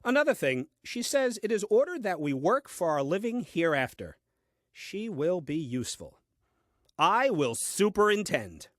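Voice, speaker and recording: A man's voice: sits high, sing-song, a little slow, no room sound. An actor playing a grumbling, self-important first man reading his diary in a comic audio play, with dry, fussy delivery. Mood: smug.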